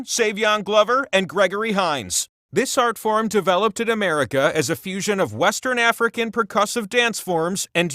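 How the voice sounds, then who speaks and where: Theatrical, swooping game-show wheel. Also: male voice, sits high, pushed and bright, no echo, clean studio sound. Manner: theatrical, dramatic, with wry asides. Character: giant talking game-show wheel, vain, quirky, theatrical male host. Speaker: quiz game host. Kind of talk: theatrical video game hosting, reading clues and quips.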